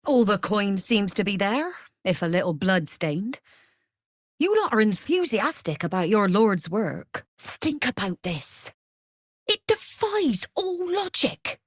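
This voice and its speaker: A woman's voice: medium pitch, very animated, takes it slowly, no echo, clean studio sound. A woman playing a shrewd, worldly smuggler and trader in a video game, speaking in a sly, wry, businesslike manner. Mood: wry, pragmatic. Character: shrewd, worldly female smuggler-merchant of a criminal trade network. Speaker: trader and guild agent. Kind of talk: video game character dialogue.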